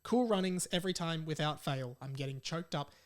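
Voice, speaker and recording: A man's voice: fairly high pitch, brisk. A young Australian man hosting a podcast solo, reading out listener messages and commenting on them in a chatty, informal way. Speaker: podcast host.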